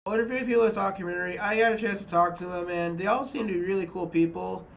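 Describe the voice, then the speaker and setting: A young man, a student, talking to the camera in a small room about his documentary, halting and restarting often. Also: high voice, fairly even intonation, gravelly, slight background noise, low-quality recording. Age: young adult. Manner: halting, many restarts and fillers. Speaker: student filmmaker. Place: small dorm room indoors.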